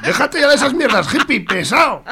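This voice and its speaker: A man's voice: extremely high pitch, very animated, fairly quick, gravelly, crowd noise, heavily acted. A man playing a crude, bigoted bar owner in a radio soap, coarse and mocking, with an accent from Spain. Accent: Spanish, from Spain. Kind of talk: amateur radio soap acting.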